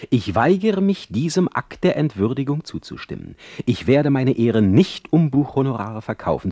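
Radio play: embattled poet in exile, lofty and vehement, widely swinging delivery, close dry sound. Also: male voice, fairly low pitch, a little mellow, no room sound, heavily acted. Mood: indignant, defiant. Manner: declamatory, lofty literary diction. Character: Heinrich Heine, proud, embattled exiled poet, brooding and vehement. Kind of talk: German radio play acting.

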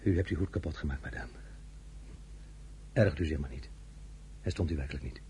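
An actor playing an imperious, ambitious French ruler in a Dutch radio play, speaking with a Dutch accent, commanding and then softly condescending. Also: male voice, a bass voice, lively intonation, a little fast, a little hiss or hum. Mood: coolly dismissive. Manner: commanding, shifting to soft intimate condescension.

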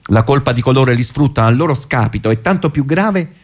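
A male actor as an older political militant, thoughtful and unsure of himself. His delivery is hesitant theatrical radio dialogue, with a very lively pitch that rises and falls over a medium-pitched base. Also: fast talker, natural voice. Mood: solemn, reflective.

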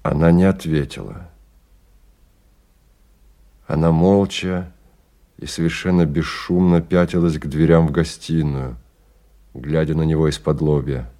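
A man narrating a radio drama in measured, deliberate phrases with pauses. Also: extremely low pitch, fairly even intonation, warm tone. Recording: radio play with music behind.

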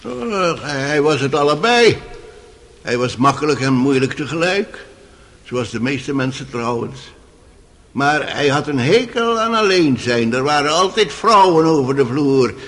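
An actor playing a dignified, stubborn old house servant in a radio drama, plain-spoken, folksy and respectful. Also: male voice, fairly high pitch, very animated, unhurried, a bit bright, heavily acted. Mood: naively chatty. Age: older.

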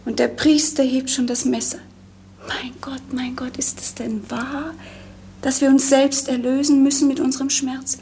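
An actress playing a melancholy, dreamy young princess in a 1950s German radio play, with lyrical, pensive delivery. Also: female voice, high-pitched, flat delivery, normal speed, dark tone. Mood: anguished, pleading. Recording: noisy 1950s radio recording.

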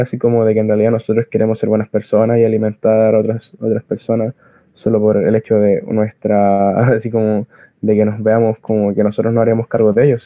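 Chilean male community volunteer, halting informal delivery, little melodic movement, dry and slightly muffled lo-fi audio. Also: fairly low pitch, no echo. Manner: halting, searching for words, earnest. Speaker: community soup kitchen volunteer. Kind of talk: informal spoken explanation of activism. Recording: dull lo-fi close recording.

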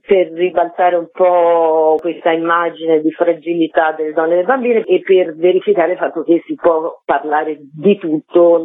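A woman who created a stand-up comedy project, talking lively and chatty in a radio interview over the phone. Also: low voice, dry recording. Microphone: phone call. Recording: tinny phone line, dry.

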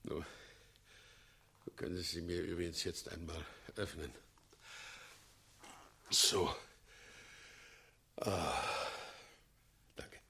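An actor playing a scheming, eccentric older mayor with a theatrical, unctuous, erratic manner in a 1960s radio play. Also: male voice, sits low, fairly even intonation, very slow, creaky, pauses often, bright, forceful tone, heavily acted. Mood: crazed, complaining. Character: scheming, eccentric father, a mad old town mayor.

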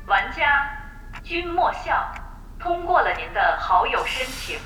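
A woman's voice: high voice, big pitch swings, slow pace, bright, forceful tone, faint music behind, exaggerated voice. A woman voicing a game system that announces notifications in a formal, automated manner within an audio drama. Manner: formal, automated prompt delivery. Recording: roomy echo, distant.

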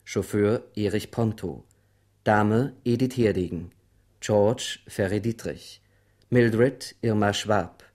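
A male radio announcer with a fairly low voice. He reads scripted credits formally, at a measured, slightly slow pace. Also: a little mellow.

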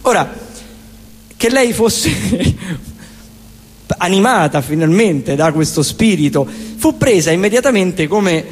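Roman man, high voice, theatrical comic storyteller, colloquial, reverberant live stage, guitar music. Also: expressive, takes it slowly, long pauses, slightly rough. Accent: Roman Italian. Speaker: stage performer and singer-songwriter.

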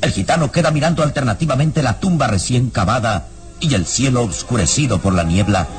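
A man narrating a scripted radio serial in a grave, solemn, deliberate dramatic style. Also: mid-range voice, expressive. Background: dramatic background music.

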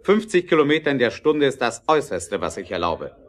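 A man acts out an elderly inventor of stubborn principle in a German radio drama. His voice sits at a middling pitch. He lays down his convictions firmly and deliberately. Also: sing-song, lightly acted. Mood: firm, resolute. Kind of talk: German radio play acting.

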